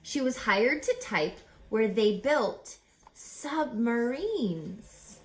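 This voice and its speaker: A woman reading a rhyming children's picture book aloud as a teacher, with theatrical, deliberate, emphatic phrasing. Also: on the high side, expressive. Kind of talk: expressive children's read-aloud of rhyming verse.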